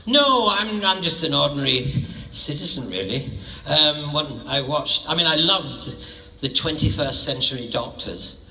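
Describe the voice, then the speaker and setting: Lively, bright-voiced older Scotsman. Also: unhurried, creaky, hardly any pauses. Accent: Scottish. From Scottish Highlands. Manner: playful, theatrical, hopping between high and low tones, laughing often. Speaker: guest actor answering audience questions. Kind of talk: theatre-show Q&A storytelling. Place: large theatre hall. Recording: stage mic in a reverberant hall, heard from a distance.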